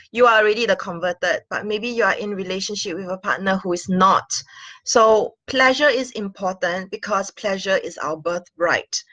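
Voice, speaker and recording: A woman in her forties speaks earnestly into a webcam, her voice at a middle pitch and recorded dry and close in a small room. She talks in a casual vlog manner, filling pauses with "uh" and "um" and breaking into soft laughs. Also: no room sound. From Singapore. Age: 40s. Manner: earnest, with frequent fillers and gentle laughs. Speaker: sex and intimacy coach. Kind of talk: casual talking-head vlog.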